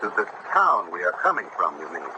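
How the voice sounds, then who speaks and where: An actor playing a genteel, inquisitive young judge of bygone America, formal and literary, in an old-time radio drama. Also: male voice, sits low, huge pitch swings, a little fast. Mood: curious, puzzled. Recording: noisy vintage radio broadcast.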